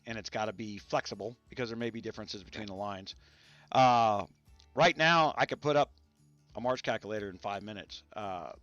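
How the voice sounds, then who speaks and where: A man with a gravelly, irritated voice, hosting a rambling casual chat about a strategy game and stopping now and then to cough. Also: fairly low pitch, fairly bright tone, lo-fi sound. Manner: rambling, many restarts and fillers. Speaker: gaming channel host. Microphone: studio mics set up to spare his voice.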